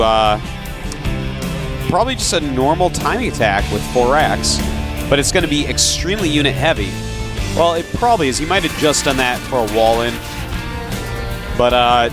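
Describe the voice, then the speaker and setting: A middle-aged man commentating a strategy game match, breaking down plays analytically with dry banter. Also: medium pitch. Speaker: esports commentator. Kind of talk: casual play-by-play commentary.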